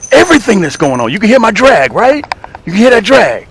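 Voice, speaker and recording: Animated, high-pitched man hosting a fishing vlog. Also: fairly quick, a little hiss or hum. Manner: exclamatory, repeats phrases for emphasis. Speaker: fishing show host. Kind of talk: excited fishing vlog chat, demonstrating and selling tackle. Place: outdoors on a fishing boat.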